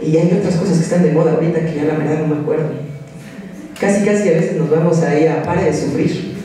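A man's voice: a little high, fast talker, noisy background. A man in his thirties with a Mexican accent, a psychology lecturer giving a direct, exhorting conference talk to students at a podium microphone. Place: large lecture hall. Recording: roomy echoing hall from a distance.

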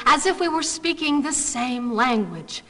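A woman acting out a haughty young woman with a high, bright voice that leaps up and down as she talks. She is cutting and sarcastic, and a long reverb hangs behind her. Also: sing-song, unhurried, exaggerated voice. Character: sharp-tongued young woman, imperious and volatile.

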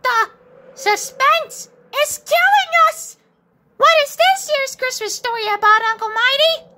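A man's voice: very high-pitched, little pitch movement, fairly quick, very noisy. A man voicing a small, earnest, eager young bird for a cartoon comic dub. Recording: loud music bed over voice. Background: loud music.

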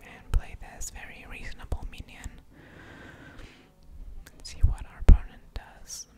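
A woman whispering ASMR commentary over a card game, thinking aloud with pauses and soft mouth sounds.

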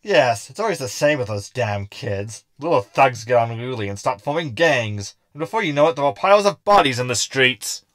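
A man's voice: mid-range voice, animated, normal speed, hardly any pauses, fairly bright tone, no echo, lightly acted. A man playing a sarcastic, cranky robot crewmate in a sci-fi comedy audio drama, wordy and mocking.

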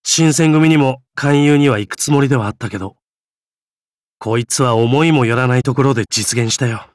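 Affable, easygoing gentleman voiced by a man, dry studio sound. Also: mid-range voice, moderate pace, dry recording, lightly acted. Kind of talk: game character voice lines.

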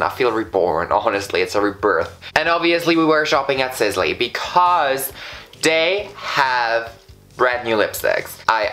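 A man's voice: on the low side, bright, forceful tone. A young man in his twenties from Austria with an Austrian German accent, reviewing lipsticks in a camp, gushing vlog style. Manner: camp, flamboyant, gushing exclamations. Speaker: beauty vlogger. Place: bright indoor room in front of a patterned backdrop.

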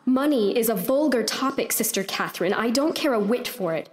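A woman's voice: a little high, expressive, fairly quick, lightly acted. An actress playing a bookish, haughty seventeen-year-old aristocratic girl in an audio drama, melodramatic and petulant. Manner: indignant, melodramatic. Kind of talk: full-cast audio drama acting. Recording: long echoing reverb.

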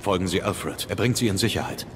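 An actor playing a grave, commanding vigilante in an audio drama, terse and serious. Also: male voice, low voice, brisk, noisy background, lightly acted. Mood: grave, urgent. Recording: loud music under the voice.

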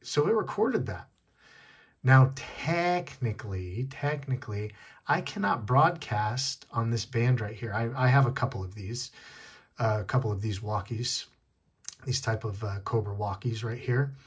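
Male tech tutorial host, a bit husky, casual step-by-step delivery. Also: medium pitch, expressive, a little slow. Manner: step-by-step explaining, repeating key points. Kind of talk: casual hands-on demonstration. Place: indoors at a wooden desk.

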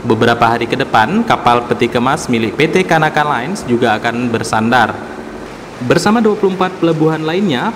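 A man narrating a government news report as a voice-over, in an even, formal reading style. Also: medium pitch, slight background noise.

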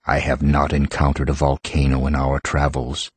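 An actor playing an ancient living stone creature, solemn, formal and ponderous, in an audiobook drama. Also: male voice, extremely low pitch, monotone, moderate pace, slightly rough, no echo.

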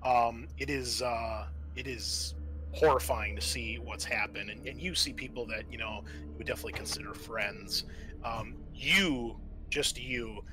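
A man narrating a tabletop roleplaying game as game master, halting and thinking aloud as he improvises. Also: mid-range voice, sharp tone, slight background noise.

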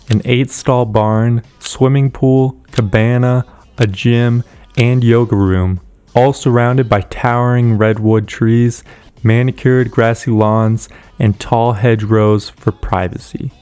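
Smooth-voiced man narrating a house tour. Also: mid-range voice, a little mellow. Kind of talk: scripted narration.

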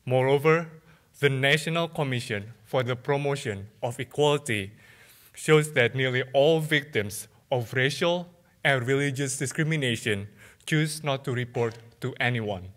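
A young man from Indonesia delivers a contest speech from a lectern in a big theatre. He speaks in a measured, emphatic way, pausing between phrases, with a mid-range pitch for a man. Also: fairly bright tone. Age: young adult. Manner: measured, emphatic oratory with pauses. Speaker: student orator. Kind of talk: competition speech. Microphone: lectern microphone.

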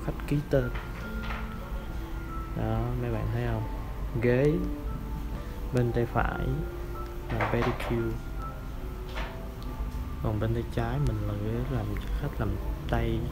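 A man in his thirties from Vietnam, giving a relaxed tour of his workplace and filling his talk with hesitant pauses. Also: medium pitch, loud music behind the voice, low-quality recording. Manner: relaxed, hesitant, many fillers. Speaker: vlogger. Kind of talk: casual vlog tour. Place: indoor back room at work, then walking outside and through a nail salon. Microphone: clip-on lapel mic.

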